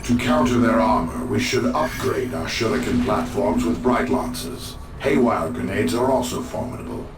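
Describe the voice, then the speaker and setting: A man playing a solemn elven alien seer-commander in a video game, with formal, grave, measured delivery. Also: lowish voice, expressive, close to an everyday voice. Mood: commanding. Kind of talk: video game cutscene acting. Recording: roomy, distant voice over game music.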